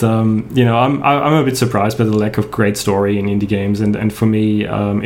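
A man's voice: fairly low pitch, vocal fry, darkish tone, a little hiss or hum. A man from Austria, a native German speaker with an Austrian German accent, a game studio founder talking through an interview in a thoughtful, hesitant way with frequent restarts. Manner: thoughtful, hesitant with many restarts, laughs often. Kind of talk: casual interview talk. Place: home in Australia.

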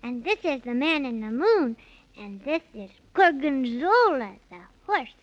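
Plucky six-year-old boy for 1930s children's radio drama: very high, animated, earnest, childlike. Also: unhurried, highs cut off, heavily acted. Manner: childlike, earnest scolding and pleading.

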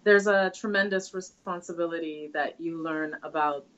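A woman's voice: low voice, fairly even intonation, normal speed, fairly bright tone. Earnest woman from Trinidad, dull lo-fi sound. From Trinidad and Tobago. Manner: earnest, reflective, with frequent hesitations. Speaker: guest. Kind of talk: conversational interview answers.

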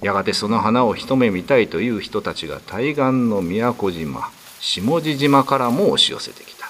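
A man's voice: lowish voice, lively intonation, moderate pace, fairly bright tone. A man narrating a classic ghost story as a dramatic scripted reading.

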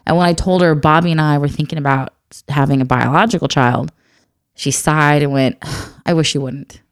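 Low, smooth-voiced woman talking candidly. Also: fairly even intonation, normal speed, no room sound, studio quality. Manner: earnest, reflective, soft-spoken. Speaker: adoptive mother and guest. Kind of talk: candid conversational chat.

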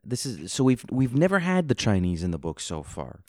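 A man co-hosting a casual book discussion, relaxed, with joking asides. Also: medium pitch, normal speed, no room sound, clean studio sound. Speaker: podcast co-host.

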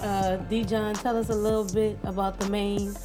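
A woman's voice: medium pitch, little pitch movement, normal speed, over music. A woman hosting a casual chat show, playful and teasing as she hypes the episode. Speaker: podcast host.

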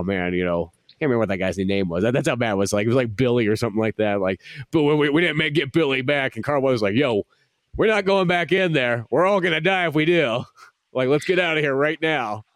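A man's voice: medium pitch, clean tone, no room sound, clean studio sound. A man co-hosting a podcast, joking about an action movie's cast in casual chat and slipping into mocking voices. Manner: jokey, mocks the actors with silly voices. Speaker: podcast co-host.

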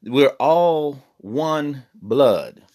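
Man, Bible teacher, earnest, halting, mid-pitched voice. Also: animated, a little mellow. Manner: earnest, repeats himself, many fillers and restarts; reads scripture in slow old-fashioned cadence. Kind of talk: podcast Bible lesson.

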